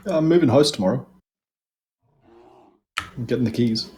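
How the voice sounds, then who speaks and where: A man's voice: mid-range voice, normal speed, mellow. A man chatting and joking with friends on a gaming voice call, swearing casually. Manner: joking, swearing, laughs often.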